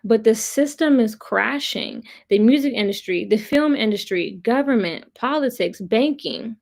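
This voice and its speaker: A woman's voice: on the high side, lively intonation, measured pace, gravelly, darkish tone. A woman hosting a casual livestream of commentary, chatting with viewers in a blunt, opinionated, profane way and repeating points for emphasis. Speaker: host of a pop culture commentary livestream. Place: live online stream.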